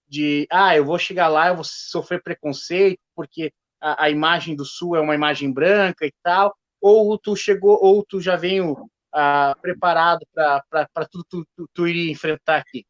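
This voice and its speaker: Halting male host. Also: on the high side, fairly bright tone, no echo. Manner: halting, many restarts and fillers. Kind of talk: live online discussion. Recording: dull lo-fi stream audio.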